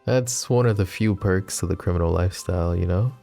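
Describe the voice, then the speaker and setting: A man playing a flirty, possessive mafia boss who is smitten and vulnerable, speaking in soft, teasing, intimate ASMR roleplay. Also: deep voice, fairly quick, faint music behind. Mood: warm, flirty. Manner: soft teasing banter with breathy chuckles.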